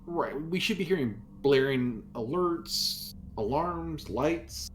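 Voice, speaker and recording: A man's voice: fairly high pitch, animated, slow, a little hiss or hum. A man casually discussing his next move as a player in a tabletop roleplaying game.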